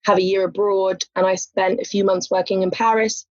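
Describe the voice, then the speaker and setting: A woman's voice: medium pitch, level tone, normal speed, a little mellow, no echo. A woman, a lawyer talking as a podcast guest in a chatty way, with light laughs and many "you know" fillers. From England. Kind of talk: casual interview conversation. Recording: muffled, dry podcast audio.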